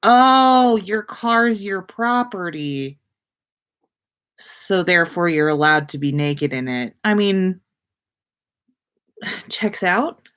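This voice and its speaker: A woman's voice: lowish voice, animated, slow, long pauses, a bit bright, clean tone. A woman hosting a casual livestream, chatting with viewers in an informal, slangy, mildly sweary way. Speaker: podcast host. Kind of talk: casual livestream chat reading viewer comments.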